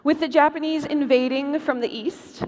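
A woman with a fairly high voice reads a scripted story from a stage as a show host. Her voice carries long reverb in a large hall, with some background noise. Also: steady tone, moderate pace, a little mellow. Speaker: podcast host narrating on stage. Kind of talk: scripted narration in a live comedic stage show.

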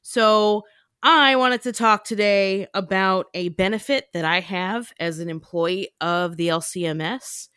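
A woman's voice: medium pitch, a little slow, a bit bright, smooth, no room sound. Chatty laughing woman podcaster, dry sound. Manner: chatty, laughs often, many fillers. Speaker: podcast co-host. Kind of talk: casual chat.

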